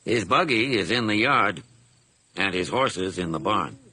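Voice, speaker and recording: A deep, probing police officer. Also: male voice, lively intonation, unhurried, sharp tone, breathy edge, no echo, exaggerated voice. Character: calm, measured male police officer.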